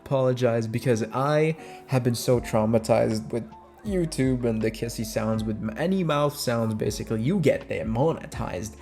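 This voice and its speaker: A man's voice: mid-range voice, moderate pace, darkish tone. A young adult man chats casually and informally as an audio creator answering fan questions, close on the microphone. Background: music.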